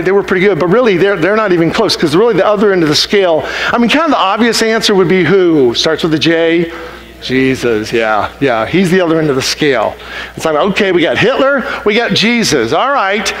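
A middle-aged man preaching a sermon on a church stage, animated and acting out imagined complaints. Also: sits high, rough recording. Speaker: pastor. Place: large church auditorium stage.

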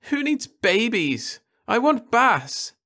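A man's voice: extremely high pitch, very animated, takes it slowly, pushed and bright, pitched above the performer's own voice, heavily acted. A man voicing a sulky, whiny nineteen-year-old girl who wails and pleads. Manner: petulant, wailing.

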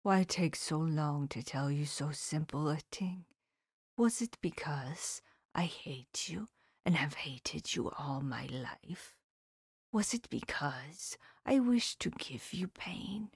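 A grave, tender old professor , voiced by a woman reading the book aloud, leans in and speaks in a soft, breathy near-whisper. His formal, old-fashioned phrasing comes slowly and deliberately, low and quiet. The sound is dry and close to the mic. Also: expressive, no room sound, exaggerated voice. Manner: hushed, often whispered, slow and solemn. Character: elderly male professor, grave, tender and sorrowful. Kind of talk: audiobook character voice.

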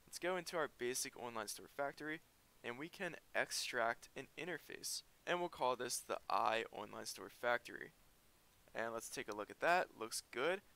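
Bright-voiced man explaining code. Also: medium pitch, normal speed, gravelly, clean tone. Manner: explains step by step while typing. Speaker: programming tutor. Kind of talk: screen-recorded coding tutorial.

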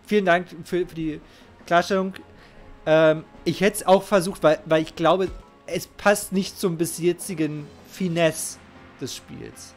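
A man's voice: a little high, fairly even intonation, a little slow. A middle-aged man commenting casually on a game live, emphatic and emotionally invested, repeating his phrases. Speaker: game streamer. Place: indoor home streaming setup. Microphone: close microphone, headset worn. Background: game music.

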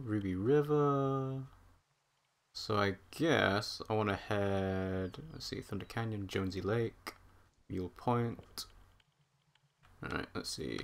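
A man commentating live on a game he plays, chatty and thinking out loud. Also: on the low side. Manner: chatty, thinks out loud, hums while walking. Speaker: streamer. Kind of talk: live let's-play commentary.

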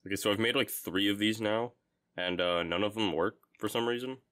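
A young man with a deep, low-pitched voice narrates a hands-on DIY build. His delivery is casual and explanatory, with an understated, dry sense of humor. Some music can be heard faintly behind him. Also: a little fast, a bit bright. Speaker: DIY electronics video maker.

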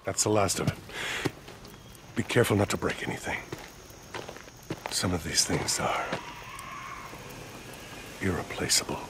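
An actor playing an older, pious militia colonel in a video game, measured, formal and fatherly. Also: sits low, background noise. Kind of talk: video game cutscene acting. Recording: game cutscene with music behind.

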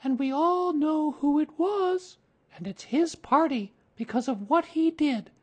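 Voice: high-pitched, unhurried, darkish tone, pitched above the performer's own voice. A narrator voicing an eager, earnest young boy from a storybook, announcing things with great emphasis.

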